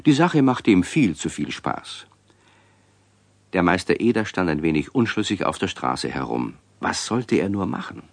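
A man's voice: sits low, very animated, moderate pace, gravelly, no echo. A man narrating a scripted children's story for a radio play. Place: dry studio.

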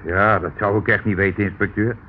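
Man acting henpecked husband, lowish voice, meek, fussy, apologetic, radio drama, narrow tinny sound. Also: fairly even intonation, fairly quick, close to an everyday voice. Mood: fussy, anxious. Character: meek, anxious, henpecked husband keeping a seamen's hotel. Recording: narrow, tinny old radio recording, faint noise.

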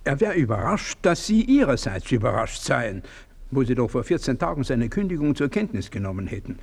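An actor playing a courtly, fussy older gentleman in a classic radio play. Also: mid-range voice, very animated, brisk, gravelly.

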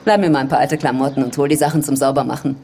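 A woman's voice: rumbling low, fairly even intonation, racing, vocal fry, background noise. A woman playing a confident, teasing Cuban policewoman in radio play dialogue.

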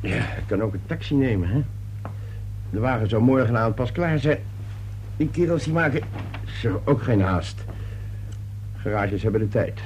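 Gruff, animated middle-aged father, played by an actor. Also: medium pitch, moderate pace, pauses often, natural voice. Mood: fussy, grumbling. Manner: gruff, impatient, blustering. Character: irritable, defensive middle-aged father and businessman. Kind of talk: radio play acting. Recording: noisy old radio play recording.

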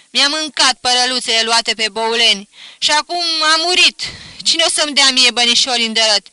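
A reader voicing a grieving peasant mother, a widow, keening loudly and high over a dead brother in a storybook reading. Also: smooth, no echo. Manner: keening lament, loud and high. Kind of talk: audiobook character voice.

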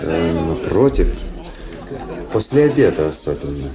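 An actor playing a cool, world-weary young aristocratic officer in a Russian radio drama, dry, polished and ironic. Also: male voice, sits low, big pitch swings, unhurried, crowd noise, exaggerated voice. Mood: mocking, ironic. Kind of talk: Russian literary radio drama acting and narration.

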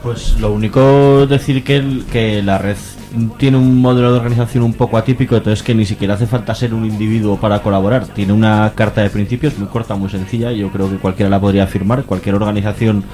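Low, mellow, even-toned Spanish man. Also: fairly quick, faint music behind. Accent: Spanish, from Spain. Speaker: guest, activist with a solidarity network. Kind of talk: casual radio interview talk.

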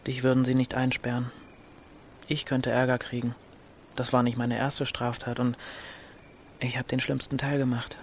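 A man's voice: mid-range voice, completely flat delivery, moderate pace, close to an everyday voice. A teenage boy, played by a man, restless and defiant, with a mid-range voice. He is pragmatic, in radio-play style, with faint ambient noise behind him. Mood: matter-of-fact. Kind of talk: German radio drama acting.

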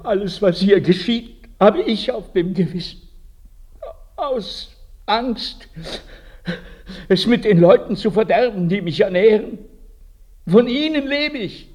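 Anguished, high-voiced village priest. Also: male voice, animated, a little mellow, heavily acted. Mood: remorseful. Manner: slow, confessional, rhetorical questioning. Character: guilt-ridden village priest, anguished and self-accusing. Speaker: actor in a radio play. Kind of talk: radio drama monologue.

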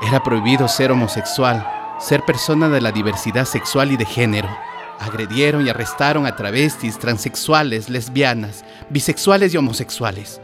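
An actor playing a solemn activist who recounts history deliberately in a radio drama. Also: male voice, medium pitch, natural voice. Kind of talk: scripted radio drama narration. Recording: loud music and sirens behind.

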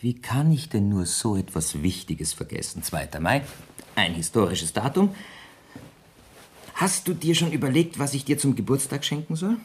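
An actor playing a worldly, wealthy bachelor in a radio drama, wry and theatrically put-upon. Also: male voice, medium pitch, animated, normal speed, breathy edge. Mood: teasing, wry. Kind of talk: 1970s German radio play acting.

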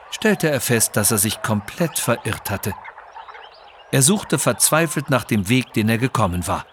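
A man's voice: medium pitch, slight background noise. A man narrating a scripted children's adventure story.

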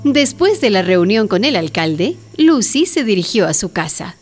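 A woman's voice: medium pitch, big pitch swings, a little slow. A woman reading scripted narration for a radio drama. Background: music.

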